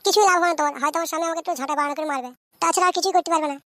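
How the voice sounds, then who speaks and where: A woman acting as a coy, defiant young Bengali village wife from a comic cartoon. Her voice sits extremely high and races along, wheedling one moment and bickering the next. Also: steady tone, rapid-fire, sharp tone, dry recording, studio quality. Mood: pleading, defiant.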